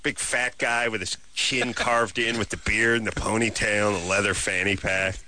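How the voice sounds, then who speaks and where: A man hosting a late-night call-in radio show, riffing crudely with quick asides. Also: lowish voice, little pitch movement, bright, forceful tone, slight background noise. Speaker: radio host. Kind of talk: comedic call-in radio banter.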